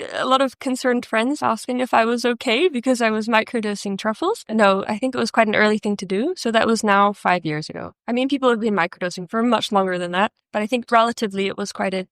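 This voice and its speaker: A young woman, speaking with a fairly high, light voice. She chats earnestly and easily as an interview guest, often pausing on "um" and "yeah". The sound is dry and close. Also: dry recording. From New Zealand. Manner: earnest and chatty, many "um" and "yeah" fillers. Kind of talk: casual interview chat.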